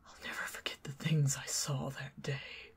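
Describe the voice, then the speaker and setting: A woman with a Southern American accent doing an ASMR roleplay as a chatty, teasing neighbor who talks to the listener and drifts into soft whispers. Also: rumbling low, flat delivery, moderate pace. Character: friendly talkative young woman neighbor.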